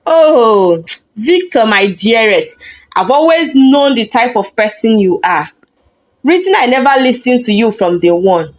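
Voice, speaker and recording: A woman's voice: fairly high pitch, lively intonation, a little slow. A woman voicing a self-assured career woman in a story, composed, dignified and cutting. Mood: composed, defiant. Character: self-assured career woman calmly rejecting her boyfriend.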